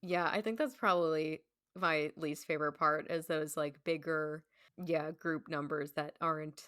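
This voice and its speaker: A woman's voice: low voice, fairly even intonation, a little slow, fairly bright tone, dry recording, studio quality. A woman guest on a podcast, chatting casually and informally and often saying "like". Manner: informal, peppered with "like". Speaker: podcast guest, stage performer. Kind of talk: casual chat about classic film.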